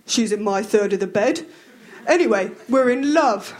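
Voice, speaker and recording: A stubborn man who speaks flatly and refuses to budge, as read by a woman. His voice stays level in her lower register. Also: moderate pace, natural voice. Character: stubborn plain-spoken man Roy.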